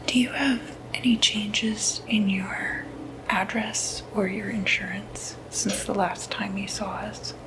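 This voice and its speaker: A woman whispering in a breathy, gentle, hesitant way, playing a soft-spoken clinic receptionist in an ASMR medical roleplay. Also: mid-range voice, fairly even intonation, slow, low-quality recording.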